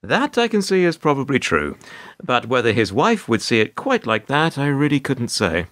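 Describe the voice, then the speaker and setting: A wry, put-upon male narrator played by an actor tells a radio play in the first person. His delivery is measured and sardonic, with a lively, swooping intonation at a middling pitch. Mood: wry, dry. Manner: dry, sardonic, measured storytelling.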